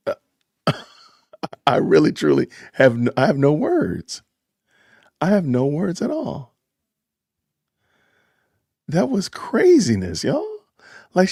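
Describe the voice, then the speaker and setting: A man hosting a casual livestream chat, excitable and loud in his reactions. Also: high-pitched, vocal fry, husky, no room sound, studio quality. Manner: excitable, exclaims loudly. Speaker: livestream host.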